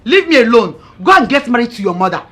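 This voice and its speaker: A woman's voice: a little high, big pitch swings, fast talker, a crowd in the background. A woman playing a furious wife who scolds and threatens others. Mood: angry. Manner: scornful, threatening. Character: furious young wife named Blessing.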